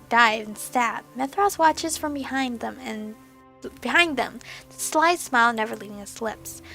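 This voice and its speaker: A young woman hosting a let's play, reading a visual novel aloud with a hoarse, fading voice and casual asides. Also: fairly high pitch, pushed and bright, low-quality recording. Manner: casual asides between earnest narration. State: hoarse, losing her voice.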